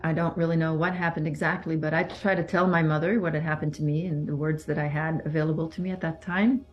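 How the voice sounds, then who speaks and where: Soft-spoken woman, low voice. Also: level tone, lo-fi sound, faint music behind. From Belgium. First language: French. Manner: measured, halting, with pauses and restarts. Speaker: survivor giving testimony. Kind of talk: personal testimony interview.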